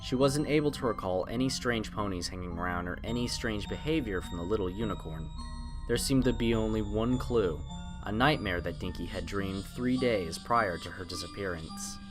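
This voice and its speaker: A man's voice: fairly low pitch, moderate pace. A man narrating a horror story in a dramatic, scripted style. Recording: prominent music under the narration. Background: music.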